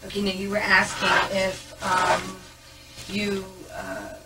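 A woman calmly questioning someone in a booking interview, asking methodical questions. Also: medium pitch, takes it slowly. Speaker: police interviewer. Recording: distant voice with music behind.

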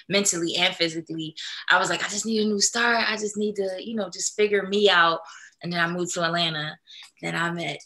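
A young woman from Philadelphia, a singer, telling her life story in a relaxed interview over a video call, with fillers and easy laughs. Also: lowish voice. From Philadelphia, USA. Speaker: singer, guest. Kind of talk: casual interview. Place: small indoor room.

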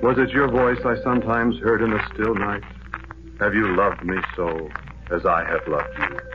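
Casting: male actor for weary, lovesick ex-soldier, low voice, poetic brooding monologue, heavily hissy vintage radio drama recording. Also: steady tone, natural voice. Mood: yearning. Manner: brooding, poetic, measured delivery. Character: lovelorn, weary male war veteran narrating his lifelong search. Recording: noisy, dull 1940s radio recording.

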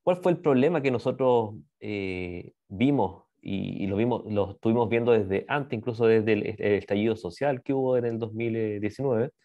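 A man's voice: lowish voice, normal speed, few pauses, warm tone, lo-fi sound. A middle-aged man with a Chilean Spanish accent, explaining a project as a university lecturer on a video call, hesitant and often adding tag questions. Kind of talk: explanatory slide presentation. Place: indoor room, on a video call. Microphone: video call microphone.

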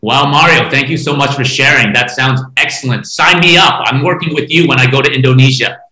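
Bright-voiced male panel moderator in echoey room. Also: medium pitch, fairly quick. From Taiwan. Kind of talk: hosting an online panel discussion. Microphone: video call, laptop distance. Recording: echoey room on video call.

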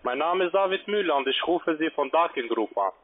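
A man's voice: on the high side, expressive, fairly quick. A man who is not a native German speaker, working as a call-center agent on a phone sales call. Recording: noisy, clicking, tinny phone line. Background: call-center floor noise.

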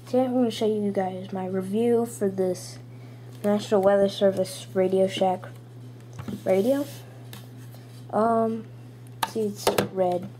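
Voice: mid-range voice, fairly even intonation, measured pace. A young person, child or teen, giving a casual, rambling gadget review into a handheld camera at home. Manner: informal, rambling. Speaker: video reviewer. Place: small room at home, indoors. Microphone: handheld camera's built-in mic. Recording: noisy handheld camera audio with clicks.

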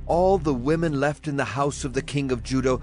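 An actor playing a grave, steadfast ancient prophet, speaking solemnly in a scripture drama. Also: male voice, mid-range voice, a little fast, darkish tone, natural voice. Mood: urging.

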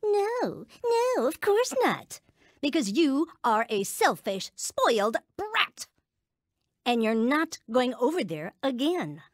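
Cruel, high-voiced, sarcastic mother. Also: big pitch swings, slow pace, no echo, clean studio sound, heavily acted. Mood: angry scolding. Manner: sarcastic, scolding. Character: cruel, angry, belittling mother. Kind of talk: audio drama acting.

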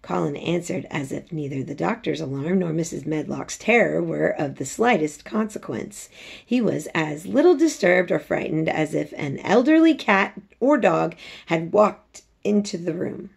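A woman in her forties with a slightly husky voice, reading a story aloud in an expressive, informal way, like a teacher. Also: sits low, normal speed. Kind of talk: read-aloud storytelling with casual asides. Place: small home room indoors. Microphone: phone at arm's length.

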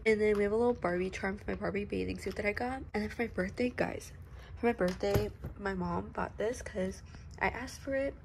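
A woman's voice: medium pitch, gravelly, a little hiss or hum, rough recording. A teenage girl with a stuffy, runny nose, chatting casually through a vanity tour at home, rambling with lots of fillers. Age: teens. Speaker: makeup vlogger. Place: bedroom at home. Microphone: phone camera.

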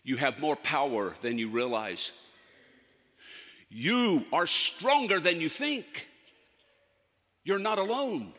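A man performing a theatrical monologue as a solemn, commanding ancient prophet, speaking deliberately with dramatic pauses. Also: on the high side, animated, pushed and bright, heavily acted. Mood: urgent, encouraging. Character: prophet Isaiah, solemn, commanding, counseling a fearful king. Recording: echoey hall with long reverb.

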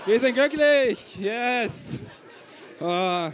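A man's voice: extremely high pitch, lively intonation, very slow, a faint crowd. A young man from Ukraine doing a stand-up set into a handheld mic, chatty and self-mocking as he tells stories. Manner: chatty, self-mocking, storytelling with acted-out quotes. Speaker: stand-up comedian. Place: small crowded room on a stage.

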